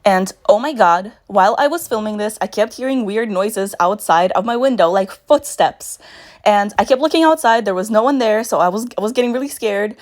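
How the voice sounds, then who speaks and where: A young woman with a raspy voice, narrating a makeup tutorial in a chatty voice-over with storytelling asides. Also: mid-range voice, lively intonation, a little fast. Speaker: makeup vlogger.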